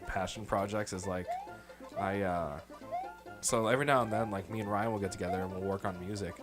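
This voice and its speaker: Low-voiced man rambling casually. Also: brisk, music in the background. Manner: rambling and profane, laughs often. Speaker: podcast co-host playing a video game. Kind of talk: casual gaming chat.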